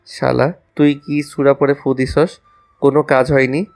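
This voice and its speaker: Male actor as smitten young student, earnest and playful, mid-pitched, romantic audio drama, music behind. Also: flat delivery, measured pace, gravelly, darkish tone. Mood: annoyed. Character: cheeky lovestruck young male student, pleading, teasing. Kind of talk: romantic audio story acting.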